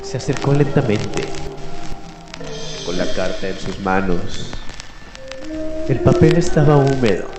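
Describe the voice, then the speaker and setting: A man narrating a scripted radio drama in an ominous, deliberate way with halting pauses. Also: medium pitch, lively intonation. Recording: echoey, music and rain behind.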